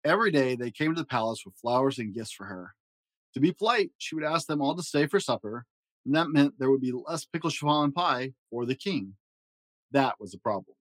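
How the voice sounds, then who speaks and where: A man reading a children's fairy tale aloud in a gentle storytelling manner. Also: lively intonation, brisk, dry recording, low-quality recording. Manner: gentle storytelling with varied pitch for emphasis. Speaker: storybook reader.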